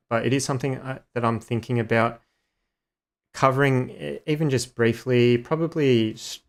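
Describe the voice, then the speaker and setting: Slightly hoarse, rambling male streamer. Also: medium pitch. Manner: rambling, many ums and uhs. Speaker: gaming streamer. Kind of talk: casual live-stream chat.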